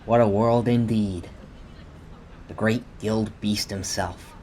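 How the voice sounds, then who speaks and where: A man's voice: lowish voice, fairly even intonation, slow, dark tone. A man playing a suave, slightly odd tech billionaire in a radio play. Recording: faint background ambience noise.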